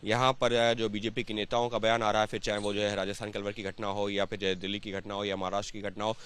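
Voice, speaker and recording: Fast-talking male TV news reporter. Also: lowish voice, little pitch movement, a bit bright, clear, resonant tone. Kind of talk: news interview, piece to camera.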